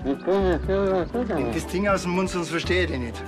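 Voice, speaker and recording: Deadpan Bavarian policeman. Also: high voice, measured pace, over music. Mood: matter-of-fact. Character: dry, sardonic, unbothered small-town policeman. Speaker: actor in a police comedy series.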